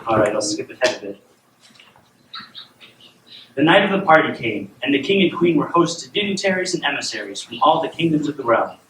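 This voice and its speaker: A man playing a warm, firm father who reads his daughter bedtime stories, in scripted drama. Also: medium pitch, big pitch swings, a little fast, long pauses. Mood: storytelling. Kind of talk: scripted drama dialogue and storybook reading. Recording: roomy, distant, noisy room sound.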